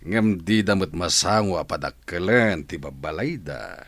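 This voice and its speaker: A man's voice: low-pitched, lively intonation, unhurried, fairly bright tone, dry recording, full character voice. A proud, doting rich father. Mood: proud, fatherly. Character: proud, doting well-off father of grown daughter. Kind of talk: radio drama acting.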